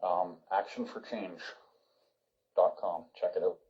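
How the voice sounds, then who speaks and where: A man chatting casually over CB radio, explaining things in a halting way. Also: low-pitched, fairly even intonation, dark tone, husky. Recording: dull lo-fi CB radio, phone-recorded.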